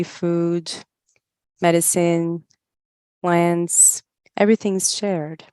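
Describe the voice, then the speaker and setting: A woman giving a live spoken interpretation of a talk, in halting phrases with pauses mid-thought. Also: on the low side, mellow, smooth, no echo. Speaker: interpreter voicing another speaker's words.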